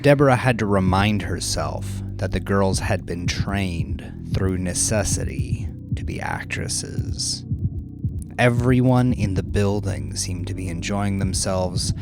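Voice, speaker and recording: A man's voice: deep voice, creaky. A man narrating scripted crime fiction as an audiobook narrator, in a dramatic storytelling style. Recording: prominent music behind the narration.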